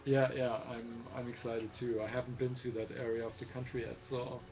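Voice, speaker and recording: A man's voice: medium pitch, flat delivery, a little fast. A man hosting a casual livestream chat, friendly and hesitant, with lots of fillers. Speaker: host of a celebration livestream. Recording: dull lo-fi stream audio with faint music.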